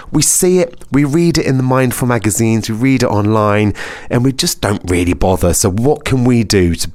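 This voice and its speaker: Low-pitched man, chatty podcast host. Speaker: pantomime performer. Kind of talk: casual chatty interview.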